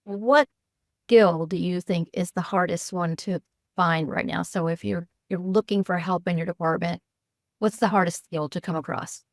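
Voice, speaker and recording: A woman hosting a podcast interview, asking questions in a measured, supportive way. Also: lowish voice, steady tone, dry recording, clean studio sound.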